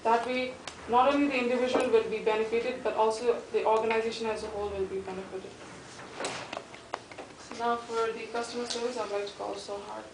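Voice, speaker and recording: A woman's voice: on the high side, level tone, fairly quick. A young woman gives a hesitant class presentation with lots of fillers. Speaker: student presenter. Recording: echoey classroom, mic far off, background noise.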